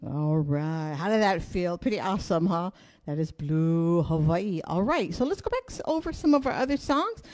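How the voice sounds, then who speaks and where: A woman's voice: on the low side, lively intonation, moderate pace, a little mellow. A woman teaching an online hula class, upbeat and chatty as she calls out steps, counts and questions to viewers from a room indoors. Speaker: hula instructor. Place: indoor room with curtains closed.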